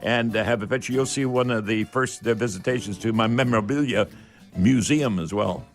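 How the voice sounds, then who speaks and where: A man's voice: fairly low pitch, brisk, soft background music. An older man hosts a radio call-in show, chatting in a folksy, rambling way with old-fashioned expressions. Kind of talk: casual home-improvement call-in radio talk.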